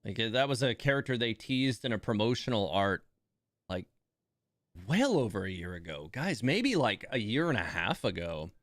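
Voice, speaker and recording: A man's voice: fairly low pitch, very animated, normal speed, fairly bright tone, no room sound, studio quality. A man giving casual, chatty game commentary as a streamer, talking to viewers and repeating words for emphasis.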